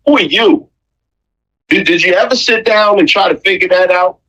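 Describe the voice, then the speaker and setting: Raspy, bright-voiced man, preaching emphatically. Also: high-pitched, normal speed, muffled recording. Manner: emphatic, preachy, rhetorical questions and repetition; blunt, profane slang. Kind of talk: opinionated conversational monologue.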